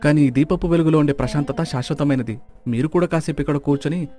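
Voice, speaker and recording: An actor playing a gentle, humble, devout temple keeper in a moral story, philosophical and pleading. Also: male voice, a little high, quick pace, soft background music, natural voice. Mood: serene.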